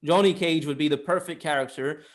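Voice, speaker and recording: Male film podcast co-host, moderately high pitch, argumentative, emphatic, casual and sweary. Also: brisk. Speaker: podcast co-host reviewing films. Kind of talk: casual opinionated film discussion.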